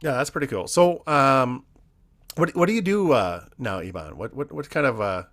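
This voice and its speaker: A man's voice: medium pitch, expressive, moderate pace, a bit bright. A man in his forties from Canada hosting a casual conversation with an old friend, talking in a rambling, hesitant way. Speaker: podcast host. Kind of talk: casual interview chat between old friends.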